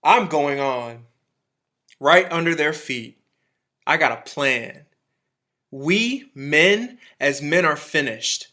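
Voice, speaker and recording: A man's voice: on the high side, expressive, slow, pauses often, pushed and bright, heavily acted. An actor playing an embittered, zealous militiaman who survived an alien invasion, in a radio drama, speaking in emphatic, folksy, clipped phrases. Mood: defiant.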